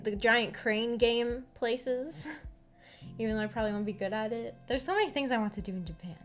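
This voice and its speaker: A young adult woman livestreaming in a casual, halting, rambling chat with her viewers over background music. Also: mid-range voice. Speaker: streamer hosting a visual novel book club.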